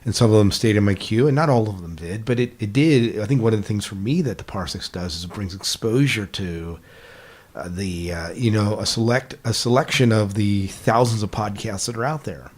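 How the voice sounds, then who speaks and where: A man's voice: lowish voice, normal speed. A man chatting casually as a podcast host and interviewer, affirming and conversational.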